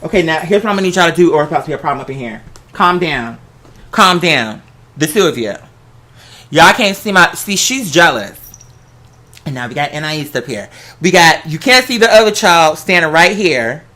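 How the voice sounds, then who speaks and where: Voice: mid-range voice, animated, moderate pace, bright, forceful tone. An American vlogger giving casual relationship advice in a sassy, playful, theatrical way, often calling the listener girl. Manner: theatrical, bursts into song. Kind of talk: casual animated advice chat.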